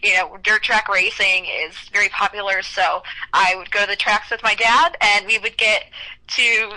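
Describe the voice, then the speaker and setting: Bright, chatty young woman singer. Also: mid-range voice, fairly even intonation, moderate pace, low-quality recording. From Cleveland, Ohio. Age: young adult. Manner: upbeat, chatty, lots of "you know". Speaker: country singer guest. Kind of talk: radio interview chat.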